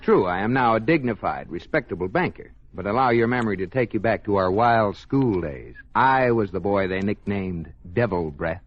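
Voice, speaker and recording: An actor playing a self-important, easily flustered husband with an American accent in an old radio sitcom. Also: male voice, lowish voice, expressive, normal speed, few pauses. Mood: smug, dignified. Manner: pompous, stammering when cornered. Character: self-important banker husband, nervously evasive, protesting too much. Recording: muffled old radio recording, studio audience.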